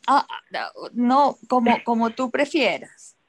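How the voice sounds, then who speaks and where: A woman's voice: a little high, animated, unhurried. A woman interpreter politely explaining how she works.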